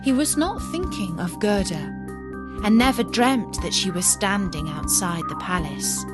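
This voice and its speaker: A woman reading a fairy tale as a storyteller, with measured, expressive storybook narration. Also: mid-range voice, loud music behind the voice.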